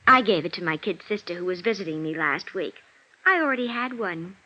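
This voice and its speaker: An actress playing a flustered, genteel young stage performer in a 1950s radio drama, with hesitant, breathy interjections. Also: female voice, lowish voice, expressive, a little fast. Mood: embarrassed, hesitant. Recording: dull vintage radio recording.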